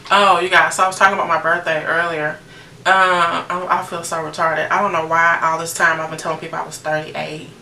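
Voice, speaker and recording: A woman's voice: lowish voice, little pitch movement, a little fast, gravelly, sharp tone. An American woman in her late thirties chatting casually to viewers as she narrates a makeup tutorial in an informal way. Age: late 30s. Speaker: beauty vlogger. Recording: roomy, distant mic with noticeable background noise.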